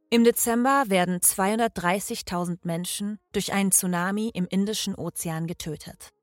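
A woman reading scripted documentary narration in a measured, even way, with music underneath. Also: mid-range voice, dry recording.

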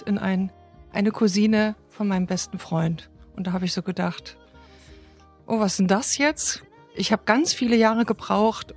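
A woman in her mid-fifties from Thuringia in Germany, thoughtfully recounting her personal story, with soft music underneath. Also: mid-range voice. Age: 50s. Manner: reflective and thoughtful. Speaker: interviewee.

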